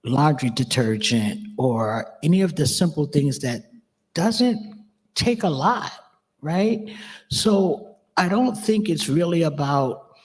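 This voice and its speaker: A woman panelist with a husky voice, making direct, emphatic, plain-spoken remarks into a handheld mic on an auditorium stage. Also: low-pitched, a little mellow. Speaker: panelist, activist. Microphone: handheld microphone. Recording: reverberant hall sound.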